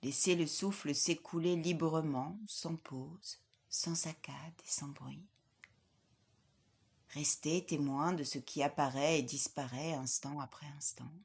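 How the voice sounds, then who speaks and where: Slow, low-voiced woman guiding relaxation. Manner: calm, soothing, measured delivery with soft breathy phrase endings. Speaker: yoga nidra instructor. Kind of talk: guided relaxation meditation, scripted.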